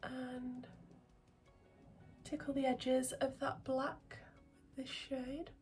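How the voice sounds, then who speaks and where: A woman who is getting over a cold, chatting excitedly through a makeup vlog at home, full of ums and sometimes dropping to a near-whisper. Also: high voice, very slow, music in the background. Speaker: makeup vlogger. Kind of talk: casual beauty vlog chat. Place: indoors at home, filming makeup at a mirror.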